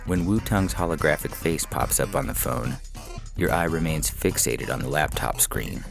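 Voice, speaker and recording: A man with an extremely low voice narrates short fiction. There is a heavy creak and gravel in his delivery, and music plays underneath as he reads. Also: normal speed. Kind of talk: scripted story narration in second person.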